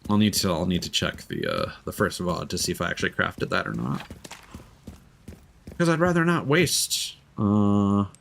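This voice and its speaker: A man streaming a video game, talking through it in an expressive, playful way with casual swearing. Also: on the low side, normal speed, vocal fry, a little hiss or hum. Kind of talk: gameplay stream commentary with dramatic reading aloud of in-game documents.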